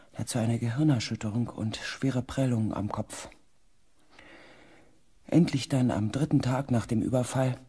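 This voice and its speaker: An actor playing an anxious, reflective researcher who narrates a sci-fi radio drama in a tense, dramatic manner. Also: male voice, medium pitch, a little mellow, slightly rough. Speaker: narrator and character. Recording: faint background ambience.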